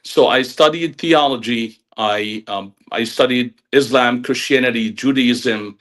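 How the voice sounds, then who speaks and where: A man's voice: medium pitch, fairly bright tone. Earnest Arabic-accented man, humble guest. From Jordan. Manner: earnest and humble, halting with many ums. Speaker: podcast guest. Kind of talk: casual interview talk.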